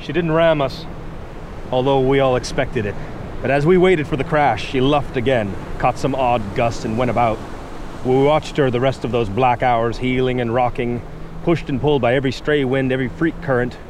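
An actor playing an intense lighthouse keeper who tells a horror tale in vivid old-time radio style. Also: male voice, medium pitch, lightly acted. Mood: mounting dread. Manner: dramatic, vivid, suspenseful. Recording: noisy vintage radio recording.

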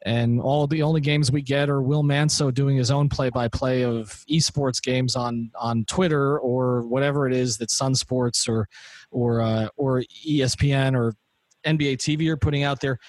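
A man's voice: mid-range voice, fairly even intonation, moderate pace, a little mellow, dry recording. A man hosting a sports podcast, asking long, rambling questions in a casual, conversational way. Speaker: podcast host.

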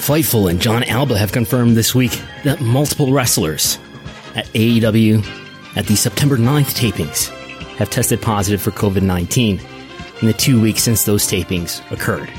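An American man hosting a podcast, reading through news in a deliberate, announcer-like way. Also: fairly low pitch, over music. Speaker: podcast host.

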